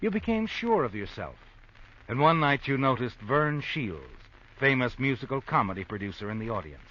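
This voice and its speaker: A man's voice: medium pitch, very animated, a bit bright. An actor playing a stern, knowing narrator who tells a woman her own story in dramatic old-time radio style. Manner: deliberate, ominous, knowing. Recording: dull vintage radio recording.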